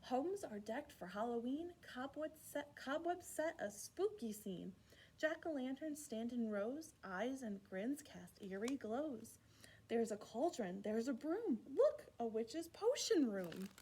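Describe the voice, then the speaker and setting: A woman hosting a children's storytime, reading a rhyming picture book aloud in an expressive, playful way with asides to young listeners. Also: a little high, takes it slowly, a little hiss or hum, poor audio. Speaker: children's library storytime host.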